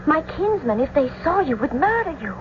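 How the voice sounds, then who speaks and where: Young lovesick noble girl, high pleading voice, old radio drama, muffled recording. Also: sing-song, moderate pace, noisy background. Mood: tender, anxious. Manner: romantic, pleading, poetic delivery. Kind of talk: classical stage-style radio drama acting.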